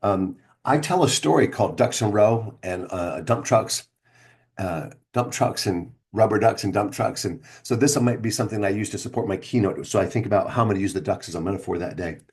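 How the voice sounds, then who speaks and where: A man's voice: lowish voice, brisk. A middle-aged man tells his own story casually and directly to the viewer as a speaker would, conversational and leaning on key words. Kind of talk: casual first-person storytelling to camera.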